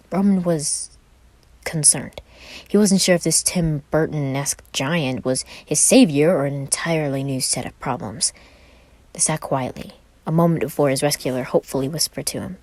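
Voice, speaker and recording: A woman's voice: sits low, darkish tone, no room sound. A woman who hosts and reads fan fiction, moving between casual chat and story narration. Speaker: podfic reader and channel host.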